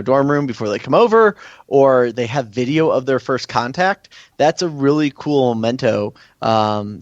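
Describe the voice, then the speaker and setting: A man's voice: mid-range voice, no echo. American man, casual guest on muffled audio. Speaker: guest, event organizer. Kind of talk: casual interview talk.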